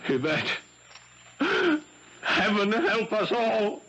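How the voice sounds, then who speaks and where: An actor playing an ardent young policeman in a 1970s radio drama, halting, emotional and theatrical. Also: high voice, vocal fry, pushed and bright, exaggerated voice. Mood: frantic, terrified. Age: young adult. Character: ardent young French policeman, frantic for his fiancée. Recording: dull lo-fi 1970s radio broadcast.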